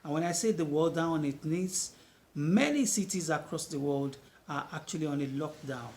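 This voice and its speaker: A man's voice: a little high, normal speed, a little mellow, a little hiss or hum. Earnest man reporting the news. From Nigeria. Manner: earnest, emphatic. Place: outdoor market.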